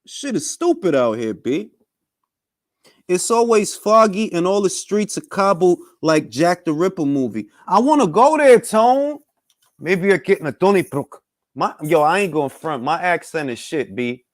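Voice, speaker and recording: A man's voice: sits high, big pitch swings, moderate pace, no room sound, studio quality. A young man hosting a casual boxing talk stream, bantering with loose, profane energy. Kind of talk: casual live-stream chat with viewers.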